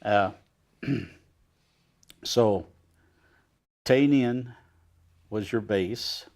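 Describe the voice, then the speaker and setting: Low voice older guy, host, lots of uh, leading questions, studio. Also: darkish tone. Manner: halting, leading questions with many "uh"s. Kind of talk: TV interview.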